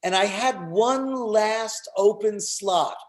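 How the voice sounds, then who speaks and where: A man's voice: sits high, takes it slowly. A man hosting a live festival event, chatting casually as he introduces things. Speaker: festival host and performer.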